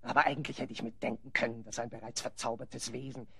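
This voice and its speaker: Vengeful, imperious magic-wielder, audio drama: gravelly, husky, theatrical. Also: male voice, medium pitch, flat delivery, quick pace, bright, forceful tone, exaggerated voice. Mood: spiteful brooding. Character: scheming, vengeful magic-wielder plotting spells. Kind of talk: German children's audio drama acting.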